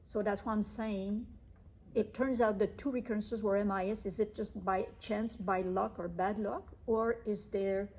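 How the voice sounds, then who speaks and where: A woman speaking as an interviewed medical expert, explaining clinical research in a measured, cautious way. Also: mid-range voice, fairly even intonation, darkish tone.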